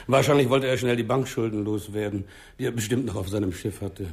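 An actor playing an affable, deferential small-town mayor in a 1960s German radio crime drama, talking in a conciliatory, slightly hesitant way. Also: male voice, on the low side, steady tone, a little fast, a little mellow, close to an everyday voice. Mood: reflective, informative.

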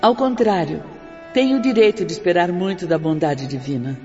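A woman plays an earnest spirit sister teaching moral lessons in a radio drama. Her diction is formal and preaching, carried in a long reverb over soft music. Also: fairly low pitch, moderate pace, lightly acted. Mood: earnest, hopeful. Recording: dull reverberant recording with music.

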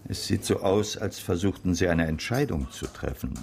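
An actor playing a courteous, observant former private detective in a radio drama, with a gravelly voice and a polite, probing manner. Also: male voice, low-pitched, expressive, normal speed, darkish tone. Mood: curious, polite.

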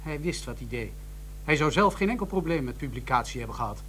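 An actor playing a defensive, indignant gossip magazine editor in a radio drama. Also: male voice, medium pitch, expressive, fast, fairly bright tone, slight background noise, natural voice. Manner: stiff, indignant. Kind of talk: 1990s radio drama acting.

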